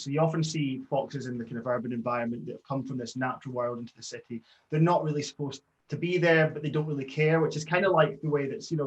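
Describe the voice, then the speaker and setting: A man, a brand co-founder, chatting in a relaxed, friendly way with easy laughs. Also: mid-range voice, poor audio. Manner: friendly, laughs easily, many fillers. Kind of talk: casual interview chat.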